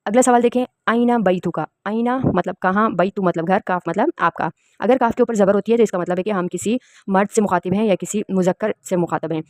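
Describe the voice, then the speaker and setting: A woman's voice: mid-range voice, a little fast, darkish tone, dry recording, studio quality. A woman from Pakistan, teaching an Arabic lesson and patiently explaining each word. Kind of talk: spoken language lesson.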